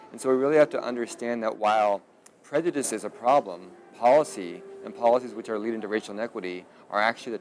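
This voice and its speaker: A man making an argument in a documentary interview, recorded with ambient noise behind him. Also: lowish voice. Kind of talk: explanatory, advocacy-minded interview.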